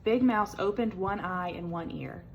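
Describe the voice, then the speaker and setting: A woman reading a children's picture book aloud as an expressive storyteller. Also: mid-range voice, normal speed, a little hiss or hum, low-quality recording.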